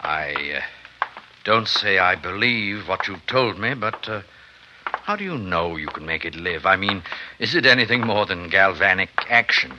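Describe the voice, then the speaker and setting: An actor playing an anxious, devout minister in a 1950s radio drama, speaking in a halting, earnest, pleading way. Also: male voice, deep voice, expressive. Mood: wary, doubtful. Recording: faintly noisy 1950s radio recording.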